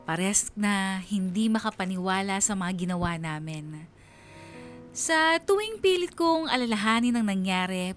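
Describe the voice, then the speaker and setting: A young woman, played by an actress tells her story in a confessional first-person style. Her voice is mid-pitched, with faint music underneath, as in a radio drama. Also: lightly acted. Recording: music bed behind the voice.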